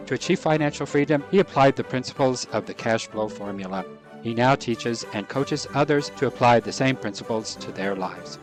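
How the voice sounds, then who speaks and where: A man's voice: medium pitch, moderate pace, over music, rough recording. A man hosting an interview podcast, upbeat and formal as he reads his introductions. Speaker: podcast host.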